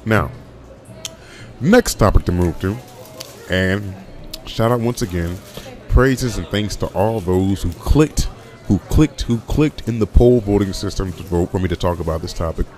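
A young man with a deep voice hosting a casual solo podcast, rambling with many restarts and fillers. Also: expressive, measured pace, a little mellow, faint music behind. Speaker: podcast host.

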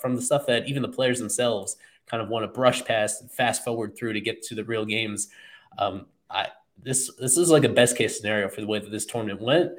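A man hosting a casual sports podcast, talking in a thoughtful, measured way with frequent fillers. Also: on the low side. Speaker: podcast host.

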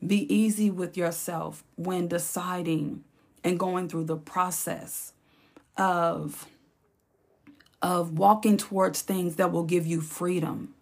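A woman hosting her own podcast, speaking in a slow, encouraging, reflective way, with a raspy voice and features. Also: on the low side. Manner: slow, encouraging, repeats phrases for emphasis. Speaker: podcast host. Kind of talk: reflective solo podcast talk.

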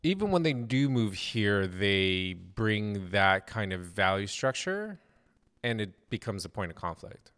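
A man hosting a conversational interview, speaking in a measured, thoughtful way. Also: lowish voice, sing-song, a bit bright, clean tone. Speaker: interviewer, host.

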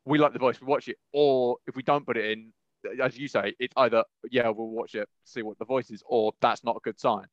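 A man's voice: mid-range voice, fairly even intonation, fairly quick, no room sound, muffled recording. A man chatting casually as a podcast co-host, making his points with emphatic repetition and acted-out reactions. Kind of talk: casual chat.

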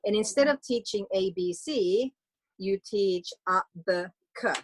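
A woman's voice: medium pitch, measured pace, slight background noise. A woman from Finland, a Swedish-speaking Finn, chatting casually as a guest about homeschooling, often restarting her sentences. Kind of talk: casual conversation.

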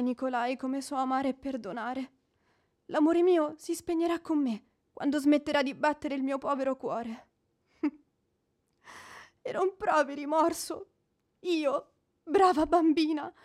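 A woman's voice: high-pitched, a little slow. A woman acting in a radio drama as an inconsolable, proud young widow, theatrical and declamatory. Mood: passionate grief. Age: young adult. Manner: declamatory, mournful.

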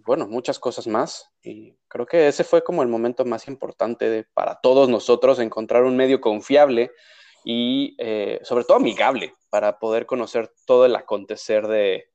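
A man's voice: mid-range voice, steady tone, normal speed, darkish tone, highs cut off. Chatty man with a Mexico City accent. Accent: Mexico City Spanish. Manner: chatty, with many fillers and restarts. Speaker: podcast host. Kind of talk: casual interview.

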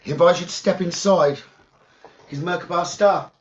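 A man leading a guided meditation in short, halting phrases with long pauses and a creaky voice. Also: high-pitched. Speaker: guided meditation leader, psychic medium. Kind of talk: slow guided meditation narration. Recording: dull, lo-fi sound.

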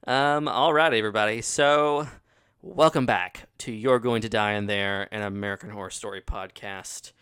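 A man's voice: on the low side, fairly even intonation, moderate pace, fairly bright tone, clear, resonant tone. A man co-hosting a podcast in casual, teasing chat, laughing often, with a runny nose. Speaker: podcast co-host.

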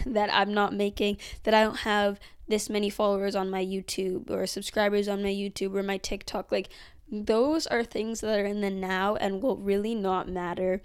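An eighteen-year-old woman chats earnestly to camera in a casual vlog. Her voice is smooth and clear at a middle pitch, and she fills her sentences with ums and likes as she thinks aloud. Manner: chatty, filler-heavy, earnest. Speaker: vlogger. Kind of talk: casual reflective monologue.

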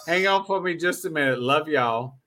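A man's voice: a little high, moderate pace, sharp tone. Effusive, joking male podcast host. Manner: effusive, joking, repeats phrases. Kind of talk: casual chat interview.

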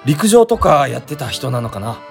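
A man's voice: mid-range voice, big pitch swings, normal speed, gravelly, soft background music. A man playing a mild, naive, resigned young sightseer in a comedic radio drama, plain-spoken and bemused.

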